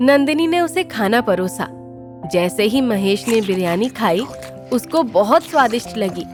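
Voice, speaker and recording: A woman's voice: on the high side, lively intonation, unhurried, over music. A woman giving a scripted narration of a children's moral story.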